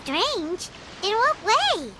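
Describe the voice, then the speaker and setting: A woman voicing a tiny, excitable, childlike fairy companion in a video game, squeaky and exclamatory. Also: extremely slow, noisy background, exaggerated voice. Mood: curious. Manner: exclamatory, refers to herself in third person. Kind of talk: animated video game voice acting. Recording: game music behind.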